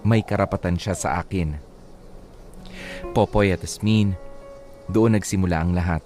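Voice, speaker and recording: Filipino male narrator for dramatic radio letters, fairly deep pitch, faint music bed. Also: a little slow, mellow. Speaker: radio drama host reading a listener's letter. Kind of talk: dramatic first-person story narration.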